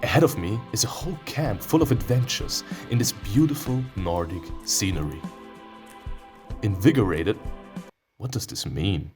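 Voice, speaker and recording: Male gaming host, low voice with big swings in pitch, theatrical and playful, reads story text and comments over faint music. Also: animated, moderate pace. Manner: playful, theatrical, prone to sudden outbursts. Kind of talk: let's play commentary mixed with reading visual novel text aloud.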